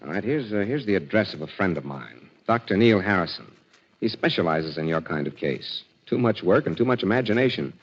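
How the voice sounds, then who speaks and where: A suave young man about town in a 1940s radio drama. His fairly low voice moves animatedly as he teases and reassures with breezy confidence. The old recording is muffled and slightly noisy. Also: lively intonation, a little fast, husky. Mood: dismissive, reassuring. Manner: breezy, teasing, self-assured.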